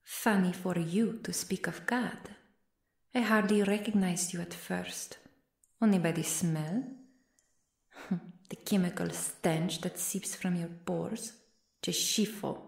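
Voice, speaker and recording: Woman as a gravelly, scornful vampire. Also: fairly low pitch, steady tone, slow pace, echoey room. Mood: cold scorn. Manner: cold, formal, disdainful, menacing. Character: stern, scornful female vampire, loyal servant of a countess. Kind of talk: ASMR roleplay audio drama.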